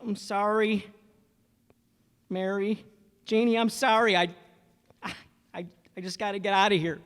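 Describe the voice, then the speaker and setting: An actor playing a desperate family man at breaking point in a radio drama, halting and stammering as he talks. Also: high-pitched, fairly even intonation, fairly bright tone, clear, resonant tone. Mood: contrite, pleading. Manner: halting, stammering, repeating words. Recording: echoey hall, stage reverb.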